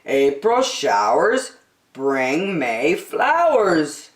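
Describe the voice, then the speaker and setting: A calm, comforting father from a storybook, voiced by a woman. He speaks slowly and reassuringly, in a roomy recording with audible echo. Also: low voice, exaggerated voice. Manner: unhurried, reassuring.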